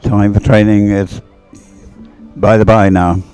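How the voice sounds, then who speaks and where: A man's voice: on the low side, little pitch movement, takes it slowly, a little mellow, clear, resonant tone, soft background music. An older man giving live commentary on a boat regatta, chatty and hesitant, often drifting into rambling asides. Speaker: sports commentator, former umpire.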